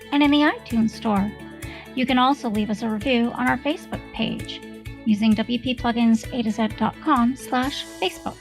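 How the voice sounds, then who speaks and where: A woman reads a scripted show outro as an announcer. Her voice is a little high and noticeably creaky, with light music behind it. The delivery is instructional. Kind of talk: scripted promotional outro narration.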